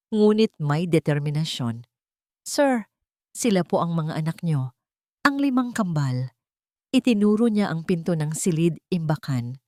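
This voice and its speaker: A woman's voice: on the low side, a little mellow, no echo, studio quality. A woman with a Filipino accent, reading a scripted love story as a dramatic audiobook narrator.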